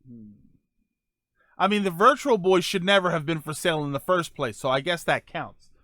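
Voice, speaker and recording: A man with a fairly high voice hosts a relaxed live chat. His intonation swings widely as he rambles and jokes through answers to viewers. The sound is dry, with very little room in it. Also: moderate pace, no echo. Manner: rambling, joking, animated. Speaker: podcast host answering viewer questions. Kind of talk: casual live stream chat.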